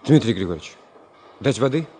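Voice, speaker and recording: An actor playing a stubborn, earnest young engineer in a radio drama, insistent and impatient, sometimes curt. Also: male voice, medium pitch, animated, a little fast, warm tone, a little hiss or hum, close to an everyday voice. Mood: subdued, curt. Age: young adult. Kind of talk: Soviet radio drama acting.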